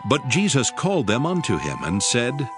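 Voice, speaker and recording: Male narrator, medium pitch, lively intonation, formal scripture storytelling, faint music behind. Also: normal speed.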